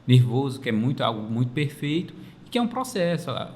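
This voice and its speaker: A man's voice: medium pitch, very animated, fairly quick, a little mellow. A man giving informal, plain-spoken advice as a student guest on a panel. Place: makeshift studio at a university campus event. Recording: long reverb, faint ambient noise.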